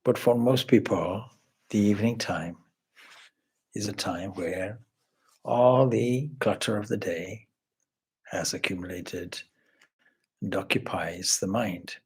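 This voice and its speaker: A man with a husky, breathy voice leads a guided meditation as a spiritual teacher, speaking in measured, reverent phrases with pauses. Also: fairly low pitch. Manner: measured, reverent, pausing between short phrases. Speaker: swami leading a guided meditation. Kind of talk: slow spoken guided meditation.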